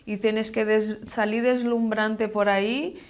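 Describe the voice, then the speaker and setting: A young woman speaks Spanish as a second language, with a non-native accent. Her delivery is slow and measured, with some hesitation and repetition, as she answers in a conversational interview. Her pitch is average for a woman. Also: level tone. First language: not Spanish, speaks it as a second language. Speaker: interview guest, model and actress.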